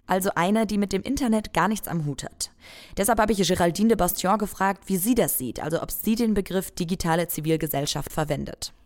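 A woman host reading a scripted podcast introduction in a clear, friendly voice. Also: lowish voice, brisk.

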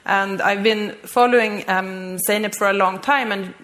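A woman's voice: mid-range voice, fairly even intonation, a little slow, fairly bright tone. Woman hosting a live podcast on stage. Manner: fluent with frequent filler ums. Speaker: podcast host. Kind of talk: live stage interview introduction. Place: stage before a live audience.

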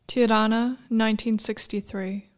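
A woman reading scripted narration for a radio play in a measured, deliberate way. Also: fairly high pitch, steady tone.

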